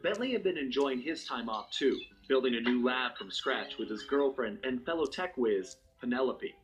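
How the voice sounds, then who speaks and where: A suave American master thief played by a man tells his own story as a video game narrator. His delivery is wry, confident and unhurried, at a middling male pitch. Music plays beneath the voice. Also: lively intonation, close to an everyday voice. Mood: fond, easygoing. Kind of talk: scripted first-person cutscene narration. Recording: music under the voice.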